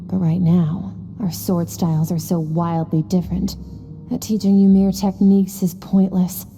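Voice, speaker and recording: A woman playing a stern, aloof master swordswoman in a video game, lecturing in a cool, measured, terse manner. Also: fairly low pitch, flat delivery, warm tone, big-room sound, soft background music, close to an everyday voice. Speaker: sword instructor. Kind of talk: scripted video game voice acting.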